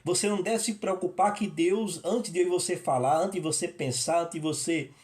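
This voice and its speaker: A man from northeastern Brazil preaches on prayer in an informal, home-recorded sermon. His voice sits somewhat high for a man. He rambles, circling back and repeating himself, often restarting his sentences. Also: moderate pace, a little mellow. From Northeast Brazil (Rio Grande do Norte). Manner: rambling, with many restarts and repetitions. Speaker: evangelist. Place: his apartment.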